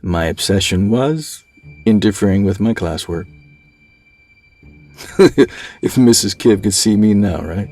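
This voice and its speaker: A man's voice: lowish voice, animated, slow, pauses often, soft background music, heavily acted. A man acting an obsessed researcher held captive, agitated and musing aloud to himself in an audio drama monologue. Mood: giddy excitement. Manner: agitated, musing aloud, swings from murmurs to shouts.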